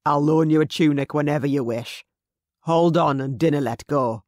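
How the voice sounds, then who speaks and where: A stern, protective Scottish Highland warrior chief, as a narrator puts him on, giving firm, clipped orders in a Scottish accent. His voice is louder and pushier than the narration around it, and it sits a touch higher. The sound is close and dry. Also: moderate pace, no echo, close to an everyday voice. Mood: tender commanding.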